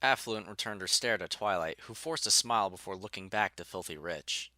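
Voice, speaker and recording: A man's voice: low-pitched, brisk, bright, forceful tone, no room sound. A man narrating a scripted story as its narrator.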